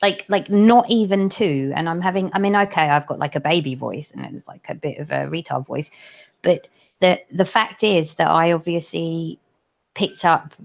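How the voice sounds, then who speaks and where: Low-voiced chatty woman, lo-fi. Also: moderate pace, creaky. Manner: chatty, rambling with many fillers. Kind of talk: casual chat. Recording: dull lo-fi audio.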